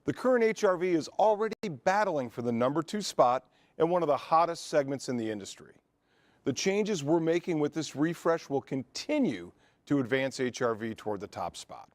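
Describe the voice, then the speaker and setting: A male presenter speaks in a polished, scripted way. His voice is a touch high for a man, and the sound is dry and close. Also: lively intonation, moderate pace, dry recording.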